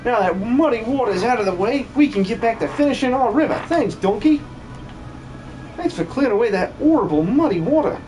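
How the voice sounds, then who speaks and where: A man's voice: extremely high pitch, lively intonation, fairly quick, a little mellow, slight background noise, pitched above the performer's own voice, rough recording. A man voicing an eager, folksy country villager in a hobby video game dub, effusive and exclamatory. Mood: cheerful, earnest. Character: eager, chirpy country villager tending a river.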